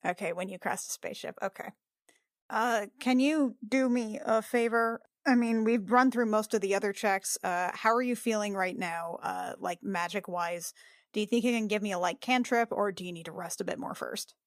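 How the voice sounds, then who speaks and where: A woman's voice: medium pitch, no echo, lightly acted. Woman playing an anxious, caring spacefarer, close and dry. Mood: concerned, gentle. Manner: halting, repeats words.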